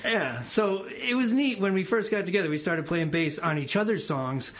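A man's voice: high-pitched, lively intonation, moderate pace, a bit bright. A male musician guest in a casual radio interview, explaining things in a rambling way. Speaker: musician guest, bass player and songwriter. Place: radio studio.

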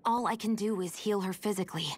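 A woman's voice: medium pitch, fast talker, slightly rough, lightly acted. An actress playing a frank, guarded young woman healer, matter-of-fact, in a dramatic video game. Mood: hesitant.

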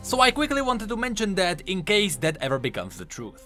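A man's voice: high-pitched, huge pitch swings, a little fast, pushed and bright. A man giving emphatic, enthusiastic voice-over commentary, explaining the lore of a video game. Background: music.

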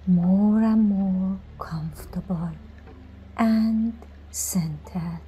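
A woman leading a scripted guided meditation in a soothing manner, giving instructions in short phrases with pauses between them. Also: mid-range voice, dark tone, lo-fi sound, a little hiss or hum. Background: music.

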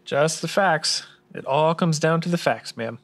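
Higher-pitched, by-the-book male expert acted by a man. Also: a little slow, a bit bright. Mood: matter-of-fact. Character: earnest by-the-book male tax expert, polite detective type. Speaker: tax adviser character in a radio drama. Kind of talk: scripted noir radio drama dialogue.

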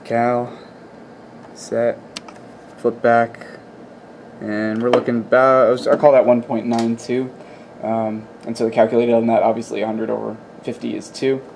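A man's voice: medium pitch, little pitch movement, a little slow, gravelly, pauses often, darkish tone, slight background noise. A man giving an informal, hands-on technical explanation in an unscripted, hesitant and methodical way. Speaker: presenter of an electronics demonstration. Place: indoor electronics workbench. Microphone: camera microphone, behind the camera.

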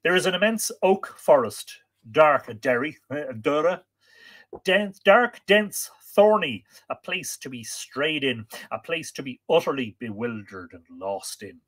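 A man's voice: a little high, animated, unhurried, a bit bright, pitched above the performer's own voice. A man voicing a queenly woman in a storybook tale, pleading and warning her lover with imperious, dramatic tenderness. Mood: ominous warning.

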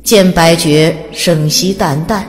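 A woman's voice: lowish voice, takes it slowly, faint music behind. A woman reading scripted story narration in a dramatic audiobook style. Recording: long reverb, faint background noise.

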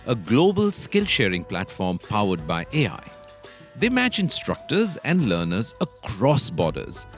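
A man introducing the next speaker at an event, reading a scripted introduction with an emphatic delivery. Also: lowish voice. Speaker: event host.

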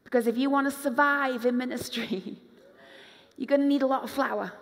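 High-voiced woman preaching on a stage. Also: normal speed. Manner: animated preacher, acts out quoted voices, moves around the stage. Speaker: pastor. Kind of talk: conference sermon. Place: large dark stage with hall reverb. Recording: long hall reverb.

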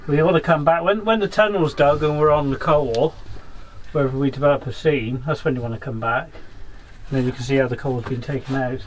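A man's voice: medium pitch, fairly quick. A man explaining things casually to a visitor, like a miner showing someone round. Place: small underground coal mine tunnel. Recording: noisy on-location camera audio.

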